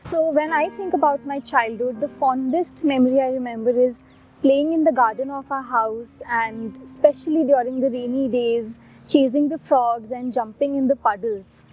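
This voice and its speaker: High-voiced woman sharing memories. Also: moderate pace, slight background noise, rough recording. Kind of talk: personal recollection to camera. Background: soft music.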